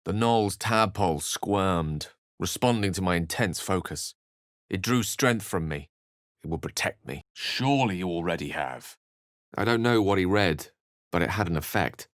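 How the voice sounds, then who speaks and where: Bookish wizard from a video game, deep voice, wry and fancy talker, really dry close recording. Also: male voice, lively intonation, moderate pace, no room sound. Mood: intent, grave. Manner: eloquent, wry, refined wording. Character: eloquent, theatrical, bookish male wizard adventurer. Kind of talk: video game character dialogue and narration.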